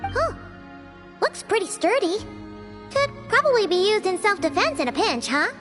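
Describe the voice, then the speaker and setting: A woman voicing a tiny childlike fairy companion in a video game, squeaky, chatty and expressive. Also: moderate pace, heavily acted. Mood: bright, chatty. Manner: chatty, expressive, refers to self in third person. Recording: loud background music.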